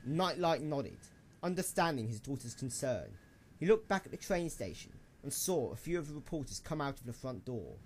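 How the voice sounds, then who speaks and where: Male narrator, mid-pitched, very expressive melody, dramatic scripted storytelling. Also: moderate pace, a little hiss or hum.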